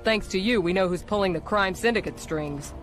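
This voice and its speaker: An actor playing a brisk, tough, dry agent ally in a video game cutscene. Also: male voice, high-pitched, a bit bright, soft background music.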